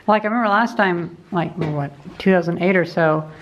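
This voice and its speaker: A woman's voice: lowish voice, moderate pace. A woman casually narrating as she explores a cluttered boiler room, talking technically and using lots of fillers. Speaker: vlogger exploring a basement. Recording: echoey basement, dull camera audio, faint hiss.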